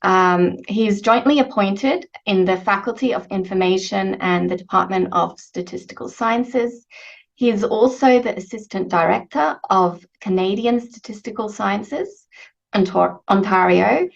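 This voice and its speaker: A woman chairing an online session, introducing the guest speaker in a measured way with a few fillers over a video call. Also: medium pitch, creaky. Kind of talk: formal introduction. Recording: dull lo-fi call audio.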